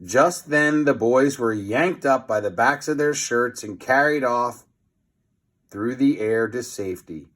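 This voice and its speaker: A man's voice: medium pitch, measured pace, fairly bright tone. A man reading a children's story aloud as a theatrical narrator, with teasing asides to young listeners. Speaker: storybook reader.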